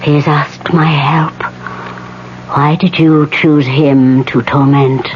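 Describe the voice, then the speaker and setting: An actress playing an old, solemn woman spirit medium in a 1970s radio drama, with halting, whispery, trance-like delivery. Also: sits low, steady tone. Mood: stern, challenging. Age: older. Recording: muffled old radio recording, loud hiss and hum.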